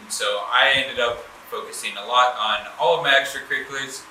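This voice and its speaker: A young man from the Southern United States with a Southern accent, giving a relaxed student presentation with plenty of filler "uh"s. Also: mid-range voice, brisk, noisy background. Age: 20s. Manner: relaxed, many filler uhs, loses his thread. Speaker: student speaker at an education fair. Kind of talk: presentation to an audience. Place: large event hall. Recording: echoey room from far off.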